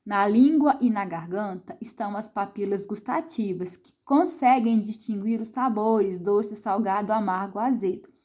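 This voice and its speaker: A woman's voice: medium pitch, moderate pace, hardly any pauses, darkish tone. A middle-aged Brazilian woman teaching a science lesson to children, chatty and full of tag questions, asking and answering her own questions. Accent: Brazilian Portuguese. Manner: frequent tag questions to the viewer.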